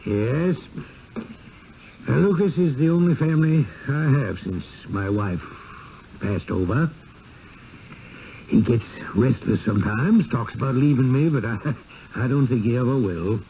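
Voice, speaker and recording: Fussy genial older village host, creaky mellow voice, swings up and down, old radio play, dull hissy sound. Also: male voice, medium pitch, animated, a little slow, pauses often. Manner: hesitant, chuckling, folksy and solicitous. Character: genial, evasive small-town widower, fussy country host. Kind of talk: 1970s radio drama acting.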